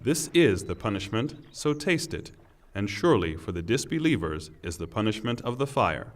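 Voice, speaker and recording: A man giving a solemn, scripted reading of scripture in a measured, declamatory manner. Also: fairly low pitch, sing-song. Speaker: reader of scripture translation.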